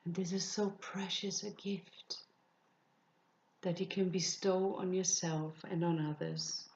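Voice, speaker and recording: A woman leading a guided meditation, speaking softly and soothingly, often dropping into breathy whispers. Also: on the low side, fairly even intonation, muffled recording. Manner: slow, soft, soothing with breathy whispered phrases.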